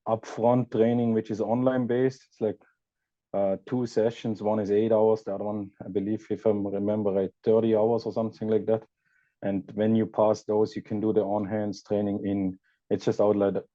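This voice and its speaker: A man from Austria with an Austrian accent, a podcast guest chatting casually and pausing to search for words. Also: lowish voice, mellow, dry recording, dull audio. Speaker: guest, EV content creator. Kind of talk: casual interview chat.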